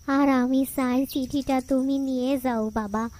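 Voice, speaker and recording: A woman's voice: sits high, fairly even intonation, unhurried, warm tone, a little hiss or hum. A woman playing a sorrowful elderly widowed aunt in a cartoon story, pleading plaintively.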